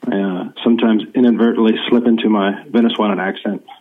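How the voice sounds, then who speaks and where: A man's voice: lowish voice, steady tone. Guy in his 40s calling in over a tinny phone line, rambling, lots of ums. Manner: rambling, halting, with many fillers. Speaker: guest journalist. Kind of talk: remote interview.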